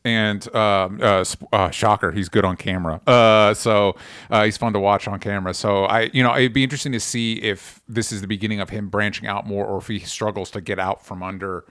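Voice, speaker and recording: A man hosting a podcast in casual chat, halting and correcting himself as he goes, swearing freely. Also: on the low side, fairly even intonation, a bit bright, dry recording, clean studio sound. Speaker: podcast host.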